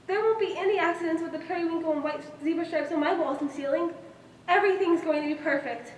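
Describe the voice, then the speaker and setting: A young woman on stage playing a self-assured, fussy girl who boasts in an emphatic, childlike, matter-of-fact way. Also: extremely high pitch. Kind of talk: stage monologue in a one-act play. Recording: roomy, distant stage recording with noise.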